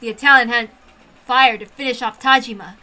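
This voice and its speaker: Woman reading a dark story out loud, kinda high n really bright voice, dramatic and intense. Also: brisk. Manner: expressive, intense. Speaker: amateur story reader. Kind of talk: dramatic first-person story narration.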